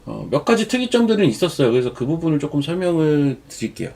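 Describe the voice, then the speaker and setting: A man's voice: fairly high pitch, big pitch swings, quick pace, creaky, a little mellow. A man with a cold giving chatty, rambling stock market commentary as the show's regular commentator. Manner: chatty, digresses into personal stories. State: has a cold. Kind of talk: casual market commentary on a live stream.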